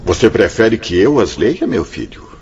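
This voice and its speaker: Deep-toned, kindly spirit elder. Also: male voice, very animated, noisy background. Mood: gentle, reassuring. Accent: Brazilian. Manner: formal, solemn, measured, gently reassuring. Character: kindly elder spirit director, serene, paternal, authoritative. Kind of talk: Brazilian radio drama acting. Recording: dull radio drama sound with music.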